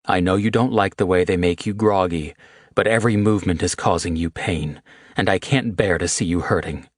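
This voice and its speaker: A man voicing a gruff, guilt-ridden man who speaks softly and tenderly. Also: low voice, a little fast, no echo, clean studio sound. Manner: soft, gruff.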